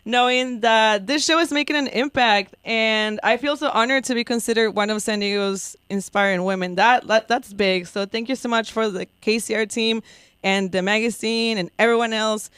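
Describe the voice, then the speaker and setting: A bubbly woman hosts a college radio show, teasing her guest and laughing easily. Her voice comes through smooth and clear, recorded close and dry on a studio mic. Spanish is her first language. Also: a little high, fairly even intonation, moderate pace, fairly bright tone, dry recording. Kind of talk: casual radio interview.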